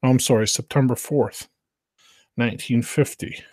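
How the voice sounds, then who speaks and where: Male livestream host, informal and halting, medium pitch, dry recording. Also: steady tone, a little mellow, no echo. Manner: informal, halting, greeting listeners. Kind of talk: casual livestream chat.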